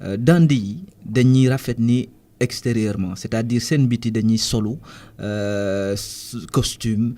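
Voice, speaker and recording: Mellow-voiced Senegalese man, hesitant. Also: mid-range voice. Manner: measured, thoughtful, pauses with frequent hesitations and restarts. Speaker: musician guest. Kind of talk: radio interview. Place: radio studio.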